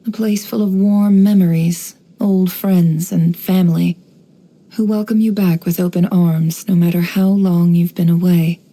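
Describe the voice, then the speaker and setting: Game role: cool, guarded, knowing young woman. Lower register, soft dark tone, wry and unhurried composure. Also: rough recording. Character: cool, shrewd, guarded young desert-born woman running an information business. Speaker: video game character voice. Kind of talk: scripted game character voice lines.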